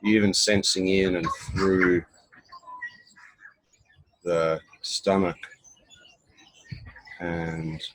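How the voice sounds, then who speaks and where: Very low-voiced man guiding meditation. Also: fairly even intonation, low-quality recording. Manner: unhurried, instructional, with pauses between short phrases. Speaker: meditation guide. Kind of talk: guided body scan meditation.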